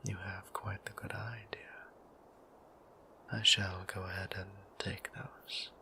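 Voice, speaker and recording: Casting: male actor as courteous, bashful vampire priest for audio roleplay. Slow, even whisper, formal and tender, breathy. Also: a little hiss or hum, exaggerated voice. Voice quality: breathy whisper with creaky low tone. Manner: formal, old-fashioned, polite, measured phrasing.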